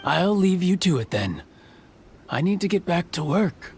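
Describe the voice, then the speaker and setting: An older chatty carpenter; gravelly, slow, lively pitch; game audio with music. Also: male voice, a little hiss or hum, full character voice. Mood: complaining. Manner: chuckling, rambling old man. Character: friendly old male carpenter, chatty and a bit grumbling. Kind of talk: video game voice acting.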